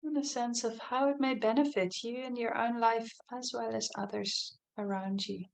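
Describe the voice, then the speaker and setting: A woman leading a guided body scan meditation as a teacher, speaking gently and without hurry, in soft invitations with long pauses. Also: fairly high pitch, fairly even intonation, gravelly.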